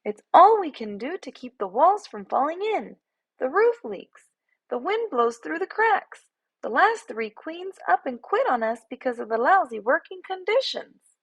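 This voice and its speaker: A woman acts out a very small storybook bee with a remarkably loud, high voice. The bee is fed up, bossy and sarcastic, and its speech swings up and down with indignation. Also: big pitch swings, unhurried, highs cut off, heavily acted. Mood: fed up, complaining. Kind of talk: storybook character voice.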